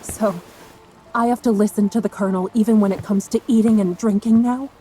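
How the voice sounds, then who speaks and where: A woman's voice: mid-range voice, fairly even intonation, a little fast, dark tone, slightly rough, natural voice, rough recording. An actress playing a defiant, independent young woman in a dramatic video game scene, guarded and challenging in manner. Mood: guarded, resentful.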